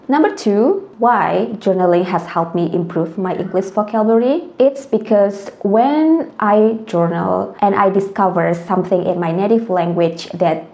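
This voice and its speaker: Indonesian-accented woman, mellow echoey voice. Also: medium pitch. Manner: earnest, explains point by point. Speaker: language tutor and vlogger. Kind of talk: explanatory vlog talk.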